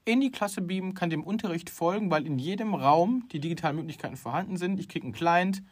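Emphatic middle-aged German man, slightly high voice. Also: fast, husky. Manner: opinionated, emphatic, with many restarts. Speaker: podcast co-host. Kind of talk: casual chat.

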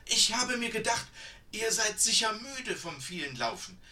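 A man's voice: high-pitched, very animated, measured pace, bright, forceful tone, recorded from a distance, voice pushed up, heavily acted. A man putting on a fussing old village woman. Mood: eager. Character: kind, breathless plump old village woman.

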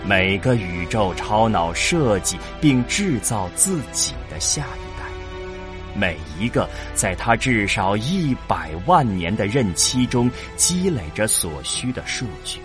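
A man's voice: fairly low pitch, very animated, slow. A man narrating a science fiction audio drama as a scripted, dramatic story. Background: prominent background music.

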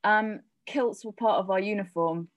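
A woman's voice: mid-range voice, moderate pace, no room sound, dull audio. English woman chatting away, lots of likes and ums, dry close sound. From England. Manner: chatty and enthusiastic, with lots of fillers. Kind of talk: casual chat.

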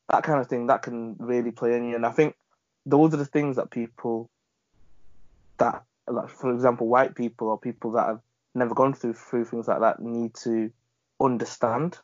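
A man's voice: medium pitch, no room sound. A man in a casual group discussion, telling a story and acting out the people he talks to. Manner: animated storytelling, acts out callers. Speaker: panel guest. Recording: dull lo-fi sound, dry.

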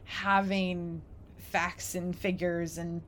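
A woman in casual, reflective conversation, telling stories in an animated way and acting out imagined quotes. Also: lowish voice, very slow, slight background noise.

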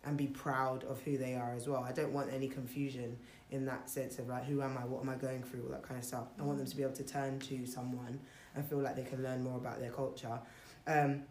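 A woman's voice: extremely low pitch, little pitch movement, brisk, hardly any pauses, darkish tone, slight background noise. A young adult woman in a casual group chat, thinking aloud about family and heritage, with plenty of fillers and restarts. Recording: roomy echo.

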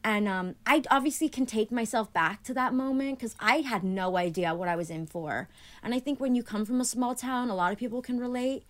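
A woman's voice: fairly high pitch, brisk. Young woman guest, remote call, talkative and filler-heavy, grew up speaking Greek. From Annapolis, Maryland, USA. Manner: chatty, many "like" fillers, animated when retelling. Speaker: podcast guest. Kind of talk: casual conversational interview. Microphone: remote call from home.

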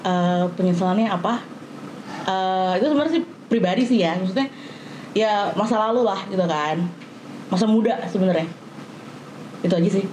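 A woman's voice: medium pitch, normal speed, long pauses, darkish tone, slight background noise, rough recording. A woman, talking casually about herself. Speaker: panel participant, creative worker. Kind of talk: casual personal talk.

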